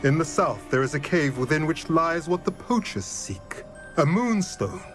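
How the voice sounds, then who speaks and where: A man's voice: on the high side, expressive, unhurried. A man voicing a stern, wise old centaur in a video game, speaking in a grave, commanding, formal way. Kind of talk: dramatic video game voice acting. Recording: loud music behind the voice. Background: music.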